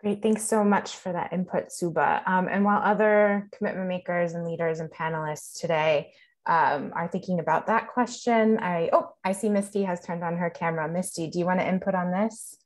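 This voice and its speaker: A woman moderating an online panel discussion, speaking in a measured, formal way. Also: on the low side, fairly even intonation.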